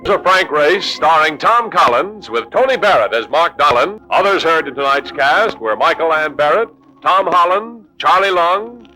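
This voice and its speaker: Bright, forceful vintage radio announcer, a man. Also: fairly high pitch, animated, moderate pace, faint music behind, low-quality recording. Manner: polished, declamatory. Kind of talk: 1940s radio announcing, scripted credits.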